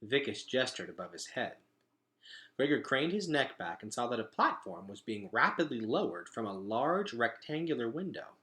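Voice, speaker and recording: Animated man reading a story aloud. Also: medium pitch, normal speed. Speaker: storybook reader. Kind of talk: expressive read-aloud narration.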